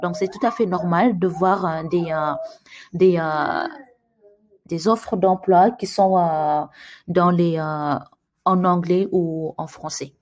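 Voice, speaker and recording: A woman's voice: on the low side, slow pace, darkish tone. Hesitant woman giving friendly advice. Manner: hesitant, many fillers, friendly direct advice. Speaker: immigration advice channel host. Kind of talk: casual advice video answering viewer emails.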